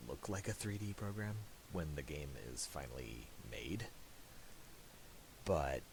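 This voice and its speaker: A man narrating a casual software tutorial, thinking aloud in a halting way. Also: very deep voice, very animated. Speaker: tutorial presenter. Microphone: voice-over for a screen recording. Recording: hissy amateur voice-over.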